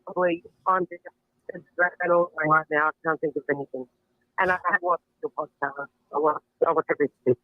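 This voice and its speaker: Older male caller from Australia, high voice, rambling and giggly, tinny phone line. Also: fairly even intonation, moderate pace, no room sound.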